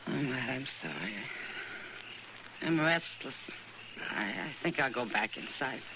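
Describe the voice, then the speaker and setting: Tired frank saloon gal in an old radio western, wry, noisy recording. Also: female voice, a bass voice, lively intonation, measured pace. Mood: restless, subdued. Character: world-weary, frank saloon woman of the frontier.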